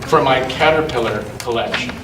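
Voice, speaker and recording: A man's voice: medium pitch, normal speed, natural voice. A man voicing an anxious, embarrassed young male cat from a children's storybook, in an echoey room. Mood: sheepish. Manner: sighing, muttering. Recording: echoey noisy room, distant mic.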